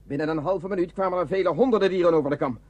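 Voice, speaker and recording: An actor playing a tense, dutiful border-guard lieutenant in a radio play, clipped and military, with a husky voice. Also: male voice, high-pitched, very fast, a little mellow, dull audio. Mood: urgent.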